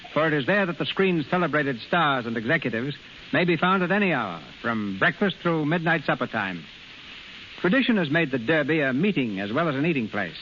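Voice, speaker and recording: Bright, formal 1930s radio host, a man. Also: a little high. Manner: formal, polished oratory. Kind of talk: 1930s radio presenting, scripted narration. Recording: muffled, faintly hissy 1930s radio recording.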